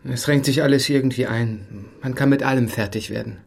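A husky-voiced, reserved man in his thirties in a radio drama. He speaks hesitantly and with understatement, like someone keeping out of things. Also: medium pitch, fairly quick. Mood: awkward. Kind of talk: naturalistic radio drama dialogue.

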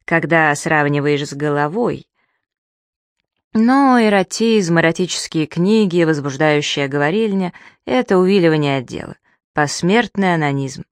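A woman's voice: low voice, expressive, normal speed, no echo, studio quality. A woman narrating an audiobook in the first person, with a conversational, emphatic and ironic delivery.

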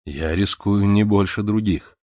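A man's voice: lowish voice, unhurried, dry recording, studio quality, natural voice. A man reading aloud who voices a weary, ironic male revolutionary with a lazy, drawling manner. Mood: gentle. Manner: drawling, mocking, then gentle.

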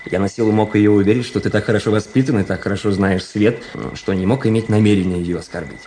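An actor playing a touchy, self-important young cadet in a radio drama, speaking in an animated, theatrical and pointed way. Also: male voice, on the low side, fast, a little hiss or hum, natural voice. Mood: reproachful. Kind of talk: literary radio drama dialogue.

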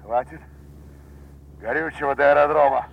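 An actor playing a wounded, stoic bomber commander in a radio play, terse, halting and laboured. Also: male voice, on the high side, creaky, narrow, tinny audio. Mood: terse, commanding. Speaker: actor in an audio drama. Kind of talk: Soviet radio play acting.

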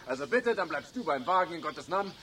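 An actor playing an irritated motorist with a Bavarian accent in a radio play. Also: male voice, a little high, animated, quick pace, a bit bright, a little hiss or hum. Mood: huffy. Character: irritated, huffy male motorist. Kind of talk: 1970s German radio play acting.

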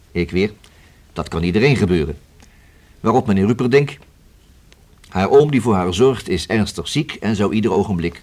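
An actor in a Dutch radio play playing a methodical, collegial senior police detective who explains things conversationally, with small hesitations. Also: male voice, lowish voice, a little mellow, husky.

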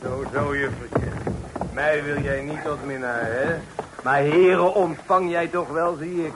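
An actor playing an arrogant, tipsy rich suitor in a radio play, mocking and insolent. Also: male voice, a little high, fairly even intonation, unhurried, lightly acted. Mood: sneering. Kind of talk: Dutch radio play acting. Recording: slightly noisy old radio play recording.

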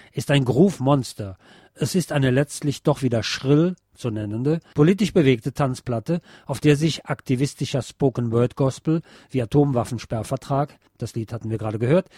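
A man's voice: medium pitch, darkish tone, dry recording, studio quality. A man hosting a music show, reading aloud from a written review as a presenter. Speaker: radio music show host.

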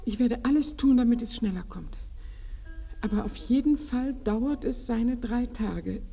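A shy, flustered village bride in a radio drama. Her voice is fairly high and soft-toned, with a marked creak. She speaks hesitantly, sinking toward whispers, humble and devout, with music behind her. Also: a little slow, lo-fi sound. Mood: overjoyed, humble. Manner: breathy, hesitant, often dropping to whispers. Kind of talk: radio play acting.

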